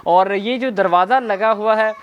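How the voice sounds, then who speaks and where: High-voiced Pakistani man presenting on location. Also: unhurried, dull audio, a little hiss or hum. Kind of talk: on-location documentary walk-through commentary. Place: on location in an old fort's streets.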